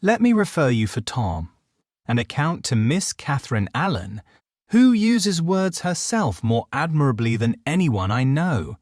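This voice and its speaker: A man voicing a courteous, observant clergyman in a storybook, measured and dryly amused. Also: medium pitch, sing-song, no echo, studio quality. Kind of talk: audiobook character dialogue.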